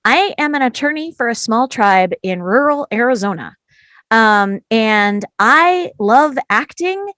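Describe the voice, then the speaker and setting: A woman's voice: medium pitch, no room sound, studio quality. A woman casually introducing herself to a group, chatty and self-deprecating. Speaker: cast member reading action descriptions.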